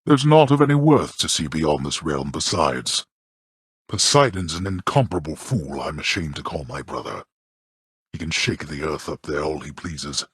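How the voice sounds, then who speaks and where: An imperious, disdainful ruler of the dead for a video game. The voice is very low and rough-edged, recorded close and dry. The speech is formal and archaic, delivered with stern, overbearing scorn. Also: male voice, lively intonation, moderate pace, no echo, full character voice. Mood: stern, commanding. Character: stern, scornful, imperious male god ruling the underworld, an overbearing father. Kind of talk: scripted video game character lines.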